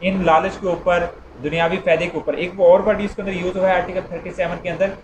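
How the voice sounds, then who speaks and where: A man's voice: high-pitched, little pitch movement, fast. A man with a Pakistani accent gives an informal explanatory lecture, repeating and rephrasing his points and mixing in English legal terms. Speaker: law lecturer. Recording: roomy, dull, clearly noisy recording.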